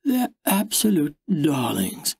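A man's voice: fairly high pitch, wildly swooping pitch, slow, vocal fry, darkish tone, breathy edge, no room sound, pitched above the performer's own voice, heavily acted. Man putting on a gushing, proud woman dog breeder. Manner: gushing, showy sales tone.

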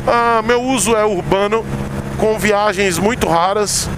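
A man's voice: high voice, unhurried. Chatty forty-year-old man riding through traffic. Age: 40s. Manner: chatty, thinking aloud, frequent "tá?" and "galera" asides. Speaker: motorcycle vlogger. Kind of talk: casual spoken vlog while riding. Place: riding a motorcycle in heavy city traffic outdoors. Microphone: helmet or bike-mounted camera mic. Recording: loud road and engine noise, wind. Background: traffic and motorcycle engine.

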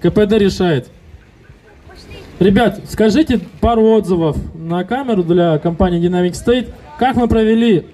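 A man in his thirties hosting a car audio contest outdoors on a handheld mic, loudly calling to the crowd and announcing results. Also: high-pitched, normal speed. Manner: loud and rousing, calling to the crowd. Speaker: event host.

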